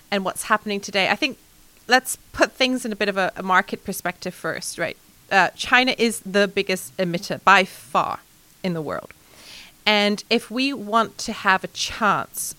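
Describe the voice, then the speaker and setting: A woman from Hong Kong, a sustainability executive answering interview questions in a lively way, with frequent fillers and light laughs. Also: mid-range voice, no echo. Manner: animated, laughs often, many fillers.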